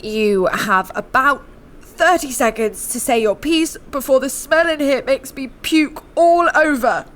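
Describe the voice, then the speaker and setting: A blunt female killer talks in a high voice. She sounds jaded, in the manner of an audio drama. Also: measured pace, a bit bright. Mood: impatient.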